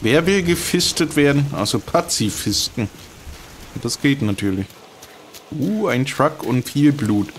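A man's voice: medium pitch, lively intonation, a little slow, a little hiss or hum. A man giving casual, dry, joking commentary as he plays a video game. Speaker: let's player commenting on gameplay.

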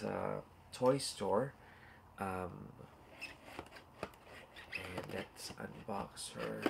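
A man in his forties talks in a casual, rambling way, full of fillers and side comments to the people watching. He sounds stuffed up and nasal, and his voice is low with a gravelly rasp. He is recorded on a phone or webcam at arm's length in a small room at home, with a faint hiss behind him. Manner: rambling and chatty, full of fillers and asides to viewers. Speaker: toy collector hosting a live unboxing. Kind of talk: casual live-stream chat.

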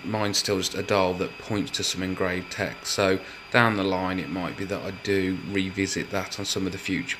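A man giving a measured, methodical walkthrough of a hobby build, explaining it step by step. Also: low-pitched, background noise. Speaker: hobbyist builder presenting his project.

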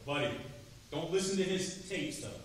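A middle-aged man giving a drum clinic to students, chatty and lively, asking the room questions. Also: on the high side, normal speed. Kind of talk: percussion clinic talk to students. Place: large rehearsal hall, standing before seated students. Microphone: far camera, no mic. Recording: roomy hall from far off, faint noise.

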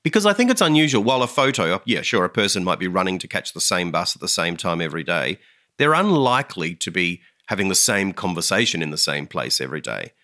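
A man's voice: deep voice, big pitch swings, quick pace, sharp tone. A man co-hosting a podcast, talking in a thoughtful, earnest casual chat. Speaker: podcast co-host.

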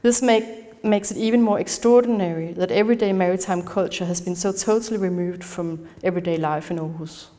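A woman from Denmark with a Danish accent, giving an academic conference talk in a measured manner, partly reading from a prepared text. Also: on the low side, dark tone. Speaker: conference speaker. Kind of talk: academic lecture. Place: large darkened lecture hall.

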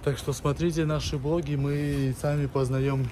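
A man's voice: on the high side, little pitch movement, normal speed, warm tone. A man from Armenia chatting casually for a travel vlog as he walks around and points out what he sees. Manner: chatty, narrates what he sees while walking. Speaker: vlogger. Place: outdoors on a noisy city street. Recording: street noise behind.

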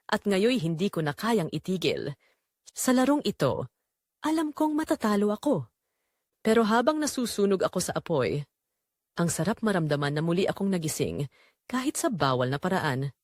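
A woman's voice: medium pitch, no echo, studio quality. A woman playing a guilty middle-aged mother confessing a forbidden affair in an emotional, scripted romantic audio drama.

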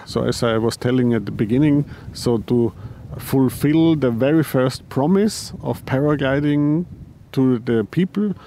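A man who heads a paraglider company answering interview questions, halting, with frequent restarts and repeated words. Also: medium pitch, dark tone, a little hiss or hum. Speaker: paraglider designer and company head.

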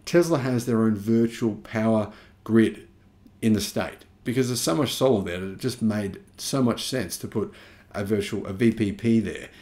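A man's voice: lowish voice, darkish tone. A man in his thirties with an Australian accent, hosting his own channel and commenting on energy news to camera, leaning hard on key words, recorded in a small home studio. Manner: emphatic, stretches key words for effect. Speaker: YouTube channel host.

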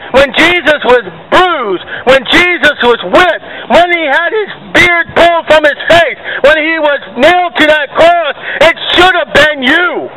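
A man preaching in the open air, voice pitched very high as he declaims and scolds the passing crowd. Street noise and cars run clearly behind him. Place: outdoors at a farmers market.

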